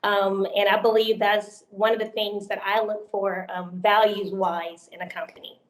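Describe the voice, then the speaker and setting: A young woman speaking as a panelist on a video call, enthusiastic, with many pauses and "um"s. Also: medium pitch, flat delivery, echoey room, rough recording. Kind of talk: virtual panel discussion.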